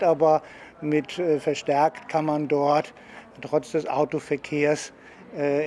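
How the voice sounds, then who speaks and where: Interviewee, man in his 60s, higher-pitched, halting unscripted answers, faint outdoor noise. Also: a little mellow. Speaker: cultural centre managing director interviewee. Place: outdoor courtyard.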